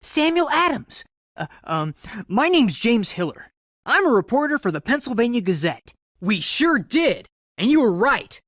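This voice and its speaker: An actor playing an earnest, eager young colonial American reporter in a game, speaking clearly in an explanatory manner. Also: male voice, extremely high pitch, sing-song, moderate pace, fairly bright tone, dry recording, clean studio sound, heavily acted. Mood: excited. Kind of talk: scripted game character dialogue.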